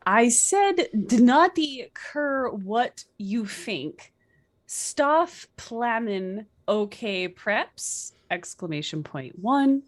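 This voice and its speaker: A woman's voice: on the high side, big pitch swings, drawn-out words. A woman guest reader doing a comedic read-aloud with playful over-emphasis.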